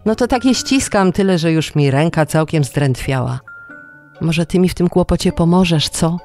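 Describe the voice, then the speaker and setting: A man's voice: on the high side, expressive, moderate pace, a little mellow, soft background music, pitched above the performer's own voice. A storyteller voicing a wily, poor male trickster who pleads in a wheedling, mock-humble way.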